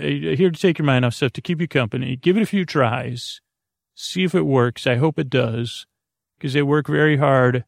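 A man with a creaky voice hosting a sleep podcast, soothing and meandering, halting as he wanders off on gently absurd, self-deprecating tangents. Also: medium pitch. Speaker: host of a bedtime sleep podcast. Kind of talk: rambling, soothing bedtime monologue.

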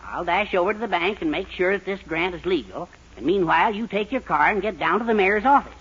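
Husky, animated small-town adviser. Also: male voice, sits high, a little fast, exaggerated voice. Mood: urgent. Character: earnest, urgent male small-town adviser. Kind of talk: 1940s radio situation comedy acting. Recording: dull 1940s radio recording.

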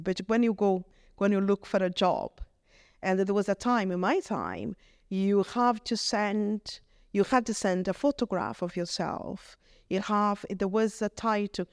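A middle-aged woman with a Brazilian Portuguese accent, speaking in English as a vicar. She gives an earnest, halting testimony and often restarts her phrases. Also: mid-range voice, darkish tone, no room sound, clean studio sound. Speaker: vicar, guest. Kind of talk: reflective testimony in conversation.

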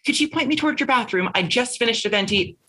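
Lady reading as a chatty young woman, talks high and a bit husky, always rambling on. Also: lively intonation, fast, sharp tone, heavily acted. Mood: polite. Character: chatty talkative young woman, nervous schemer.

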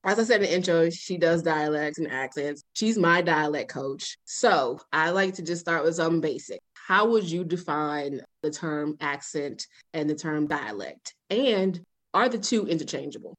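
Playful low-voiced woman podcast host. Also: moderate pace. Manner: laughs often, playful asides. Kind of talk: casual interview podcast.

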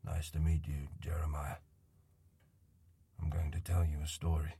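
An actor playing a weary old man telling a haunting tale in an audio drama, measured, hushed and solemn. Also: extremely low pitch, level tone, vocal fry, husky, heavily acted. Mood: quiet, hospitable. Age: older.

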